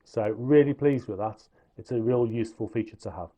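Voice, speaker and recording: Mellow-voiced man reviewing gear. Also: medium pitch, a little fast. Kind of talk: informal product review and demonstration.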